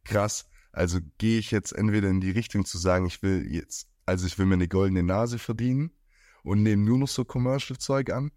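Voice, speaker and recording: A man's voice: lowish voice, brisk, darkish tone, no echo, clean studio sound. Man from near Stuttgart hosting, rambling. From near Stuttgart, Germany. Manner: rambling questions, restarts. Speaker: podcast host. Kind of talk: casual interview chat.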